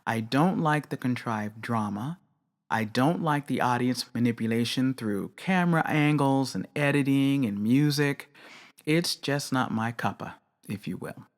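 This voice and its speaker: Measured, candid woman hosting a craft show. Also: extremely low pitch. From not British. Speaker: podcast host. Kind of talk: reflective solo commentary.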